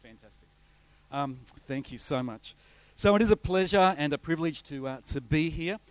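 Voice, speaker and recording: A middle-aged Australian man speaking as a guest pastor, his voice sitting a little higher than most men's. He talks loosely and conversationally, full of uhs and false starts, and the sound is close and dry with almost no room echo. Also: lively intonation, normal speed. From north coast of New South Wales, Australia. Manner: chatty, rambling, frequent uh fillers and restarts. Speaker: visiting pastor. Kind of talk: informal sermon introduction with slides.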